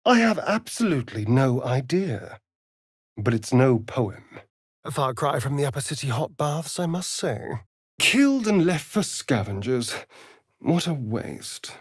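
A man's voice: mid-range voice, big pitch swings, a little slow, breathy edge, no room sound, clean studio sound. A man with a British accent playing a vain, sardonic immortal vampire rogue in a fantasy video game, theatrical and arch. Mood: wary, musing. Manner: arch, drawling, flamboyant.

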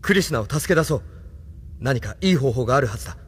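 An actor playing an earnest, intense young rebel hero in a Japanese audio drama, speaking with urgent, questioning drama. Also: male voice, medium pitch, lively intonation, fast, slightly rough. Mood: resolute. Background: music.

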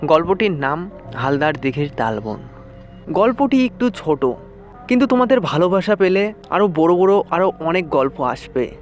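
A man with a high voice narrates a scripted ghost story in the first person. He speaks as a storyteller for an audio horror channel. Music plays clearly under his voice.

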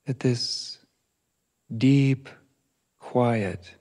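A man leading a guided meditation as a teacher, speaking gently in short, spaced phrases with long pauses between them. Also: medium pitch.

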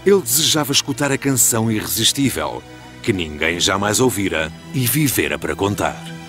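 A man narrating an animated ancient legend as a solemn storyteller, in European Portuguese with dramatic scripted delivery. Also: on the low side, normal speed, over music.

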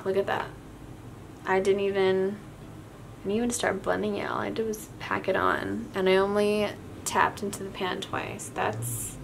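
A young adult woman with a raspy, tired voice, chatting casually and rambling in a self-deprecating way as she does her makeup. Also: mid-range voice. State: tired, short of sleep. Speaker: beauty vlogger. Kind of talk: casual makeup tutorial chat. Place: small indoor room, plain wall. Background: music.

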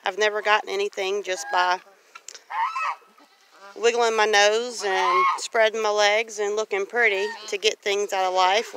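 A woman from Louisiana talking casually and reflectively in a plain-spoken, rambling way, like a homestead vlogger on a walk. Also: mid-range voice. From Louisiana, United States. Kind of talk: casual walk-and-talk monologue. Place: outdoors on a grassy farmyard. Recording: outdoor noise, farm animal sounds.

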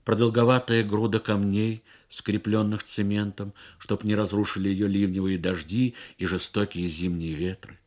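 A man with a fairly low voice narrates literary prose in the style of a Soviet radio reading. His phrasing is deliberate and measured, broken by pauses, with a dramatic storyteller's delivery. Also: little pitch movement, darkish tone. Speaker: reader of a short story on radio.

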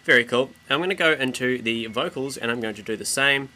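A man with a New Zealand accent and a bright, forward voice presents an informal software tutorial. He explains each step casually in everyday slang, at a middling pitch. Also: a little fast. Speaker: tutorial presenter and music producer.

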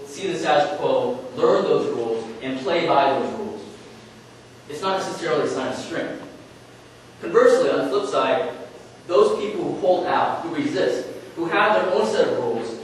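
A young man co-presenting a conference workshop, making a measured argument and building his points in lists. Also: medium pitch. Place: conference room, speaking to an audience. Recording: echoey room, distant mic, faint noise.

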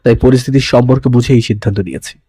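A man's voice: medium pitch, fairly even intonation, racing, warm tone, husky, no room sound, natural voice. A man playing an intense, possessive young lover in a romantic audio story, pleading dramatically and mixing English words into his speech. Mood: tender, pleading.

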